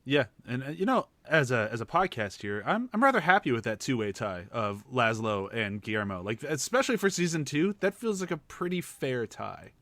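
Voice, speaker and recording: A man's voice: mid-range voice, sing-song, moderate pace, few pauses, fairly bright tone, no echo. A man hosting a podcast in casual chat, jokey and laughing often as he steers the talk. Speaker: podcast host.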